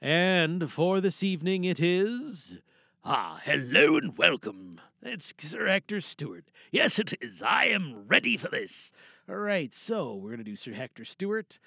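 Bright, animated male podcast host. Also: fairly high pitch, measured pace, dry recording, clean studio sound. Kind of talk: casual, chatty show introduction.

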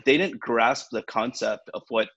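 A man's voice: mid-range voice, normal speed, fairly bright tone, slightly rough, highs cut off. A man in his forties, a realtor, telling his story in a casual and reflective way in an interview. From born in India, raised in the US. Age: 40s. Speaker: realtor guest.

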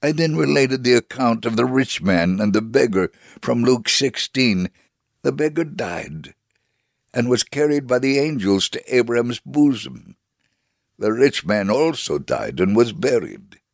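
A man giving a dramatic reading as a devout Holocaust survivor telling his story, measured, solemn and earnest. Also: mid-range voice, big pitch swings, gravelly, dry recording, clean studio sound, exaggerated voice. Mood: solemn, assured. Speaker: dramatic reader. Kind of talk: dramatic first-person memoir reading.

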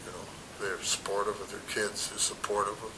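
A man's voice: level tone, fairly quick. An older man in his sixties or above chatting casually in an interview, rambling and plain-spoken, repeating himself as he goes. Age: 60s or older. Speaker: mall Santa Claus being interviewed. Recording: rumbling noisy background.

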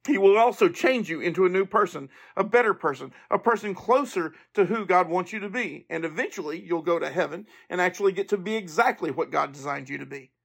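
A man's voice: high-pitched, expressive, fairly quick, creaky, fairly bright tone, slightly rough. A man hosting a podcast, speaking informally and earnestly in a monologue to listeners. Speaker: podcast host.

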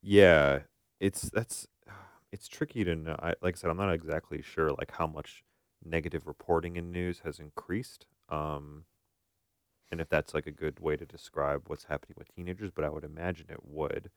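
Very deep-voiced man in casual podcast talk. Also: steady tone, moderate pace, dry recording, clean studio sound. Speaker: podcast co-host, therapist. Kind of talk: casual conversational discussion.

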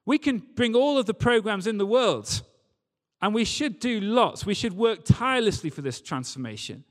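A man's voice: sits high, lively intonation, moderate pace. A man preaching a church sermon in an earnest, emphatic way, repeating key phrases. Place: large reverberant church or hall. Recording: long hall reverb.

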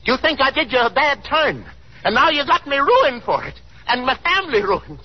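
Radio play role: ruined, defiant father, folksy and stammering, rough husky voice, muffled vintage broadcast sound. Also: very high voice, very animated, moderate pace, full character voice. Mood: anguished, indignant. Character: overwrought aging father, ruined small factory owner, defiant. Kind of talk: 1930s radio drama acting.

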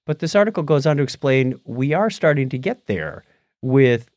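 A man's voice: medium pitch, lively intonation, normal speed, darkish tone, no room sound. Man chatting casually, close dry sound. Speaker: podcast co-host. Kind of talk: casual chat about movies and tech.

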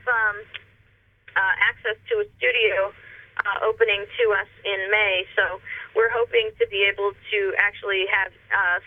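A woman calling in to a radio show by phone, talking earnestly with many fillers. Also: medium pitch. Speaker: caller, radio guest. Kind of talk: casual phone chat. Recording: tinny phone line.

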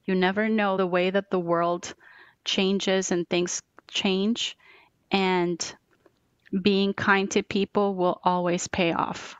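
A woman's voice: mid-range voice, flat delivery, slow pace, smooth, dry recording, dull audio. A middle-aged woman from Colombia answering interview questions earnestly, often repeating words and checking in with "right?". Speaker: interview guest.

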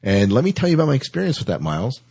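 A man casually hosting a science fiction podcast in a chatty, playful way, with a husky voice. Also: medium pitch, racing. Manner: animated, playful. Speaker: podcast host.

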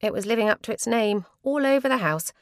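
Woman acting a breezy, high-swooping pushy sister. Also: expressive, quick pace, dry recording, clean studio sound. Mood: chatty, defensive. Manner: emphatic, gushing encouragement. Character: Louise, breezy, pushy sister preaching positive thinking. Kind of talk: acted storybook dialogue.

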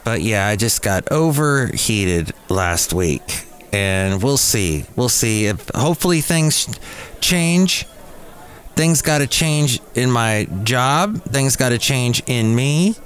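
A man's voice: medium pitch, expressive, takes it slowly, a bit bright. A man hosting a solo podcast in a rambling, digressive way, with playful puns. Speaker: podcast host.

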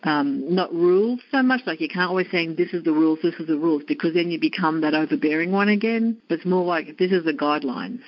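A woman with an Australian accent, speaking as an education coordinator in a podcast interview, explaining her work in an earnest, measured way. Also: low voice, no echo, dull audio. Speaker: education coordinator at a maternity home.

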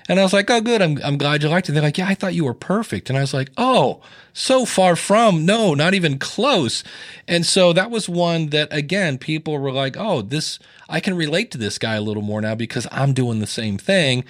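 A man guesting on a podcast interview, telling stories from his own life in a casual, animated way and jumping up in pitch when he quotes people. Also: normal speed, no room sound, studio quality. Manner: storytelling, animated with rising pitch in quoted lines.

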